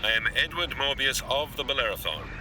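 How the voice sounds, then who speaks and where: An actor playing an aloof, forbidding scientist who speaks formally and stiffly in an old-time radio sci-fi play. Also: male voice, medium pitch, expressive, a little fast, sharp tone, slight background noise, low-quality recording. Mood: guarded. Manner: formal, stiff, insistent warnings. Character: aloof, forbidding male scientist, long-isolated castaway.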